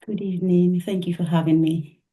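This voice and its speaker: A woman musician from Nigeria answering interview questions, soft-spoken and thoughtful, laughing often. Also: on the low side, fairly even intonation, a little fast. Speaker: musician guest. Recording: echoey room.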